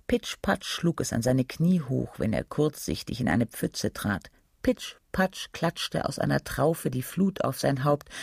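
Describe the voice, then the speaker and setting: Casting: radio drama narrator with a raspy, creaky mid-pitched voice, literary storytelling. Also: a little mellow, no room sound, studio quality.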